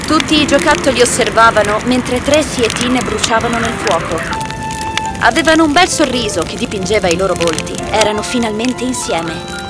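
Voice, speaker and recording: A woman's voice: on the high side, animated, moderate pace. A woman reading a scripted children's fairy tale as its narrator. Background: loud background music.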